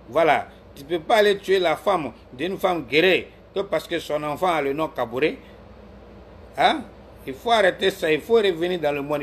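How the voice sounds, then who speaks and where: Man from Ivory Coast, live-stream commentator, a bit high-pitched, combative and repetitive, faint noise behind. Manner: argumentative, scolds viewers by name, repeats himself. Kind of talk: heated political commentary.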